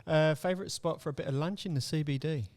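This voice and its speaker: A man's voice: on the high side, a little mellow. A man hosting a podcast, friendly and casual with plenty of ums. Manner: friendly, lots of ums and quick questions. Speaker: podcast host.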